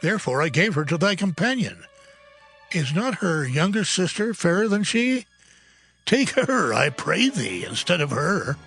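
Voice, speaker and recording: Man playing anxious, sharp, gravelly biblical father-in-law. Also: high-pitched, sing-song, a little slow, faint music behind, full character voice, poor audio. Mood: pleading. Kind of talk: dramatized scripture, archaic English.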